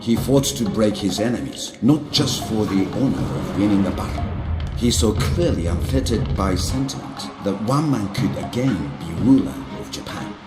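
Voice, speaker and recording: Man acting deep, solemn samurai-era sage. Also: animated, close to an everyday voice. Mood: stern judgement. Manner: solemn, measured, sententious. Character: grave, wise Japanese elder recounting samurai history and counsel. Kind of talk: dramatic scripted narration for a game cutscene. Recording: roomy echo over music.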